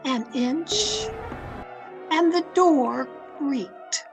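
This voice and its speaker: A woman's voice: high-pitched, animated, extremely slow, heavily acted. A woman playing an anxious, guarded mystery novelist in an old-time radio drama, with a formal, theatrical delivery. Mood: tense, uneasy. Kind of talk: old-time radio drama acting, first-person suspense narration. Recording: remote video-call recording with music behind.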